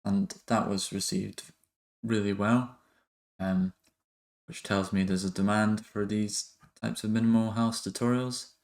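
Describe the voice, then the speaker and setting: Male tutorial host, low register, very even delivery, halting and informal, working through steps aloud. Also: creaky. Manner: hesitant, halting, thinking aloud. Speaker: music production tutorial host. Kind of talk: casual software tutorial narration.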